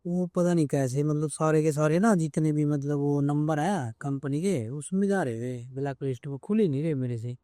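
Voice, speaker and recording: Mellow, slightly high North Indian man. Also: normal speed, few pauses, dry recording, clean studio sound. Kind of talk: casual colloquial conversation.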